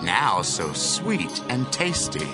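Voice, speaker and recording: A man's voice: medium pitch, very animated, takes it slowly. Guy acting cocky stubborn young strongman, bible audio drama, voice swings all over, music behind. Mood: boastful, teasing.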